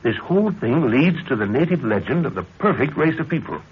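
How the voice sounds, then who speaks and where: An actor playing an older, obsessed and commanding scientist-explorer in a 1930s radio adventure serial, speaking in an emphatic, declamatory way. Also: male voice, medium pitch, sing-song, a little fast, breathy edge. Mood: eager, intent. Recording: dull, noisy 1930s radio recording.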